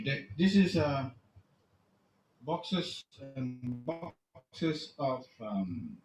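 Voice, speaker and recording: A middle-aged man giving a halting, casual narrated tour of his collection at home. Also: mid-range voice. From Malaysia. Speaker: guest storyteller, music archivist. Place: recorded video inside a room at home. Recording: roomy, dull video audio, faint noise.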